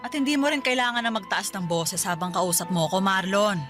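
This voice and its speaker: A woman acts as a middle-aged mother in a radio drama. She is caring and probing, with a firm edge and an average-pitched voice. Faint music plays behind her. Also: a little fast, close to an everyday voice. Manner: questioning, motherly. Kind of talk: Filipino radio drama acting.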